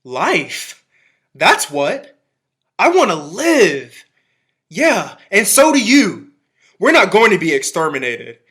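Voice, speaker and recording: A man's voice: high voice, huge pitch swings, takes it slowly, pauses often, sharp tone, heavily acted. An actor playing an embittered, zealous militiaman who survived an alien invasion, in a radio drama, speaking in emphatic, folksy, clipped phrases. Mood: defiant.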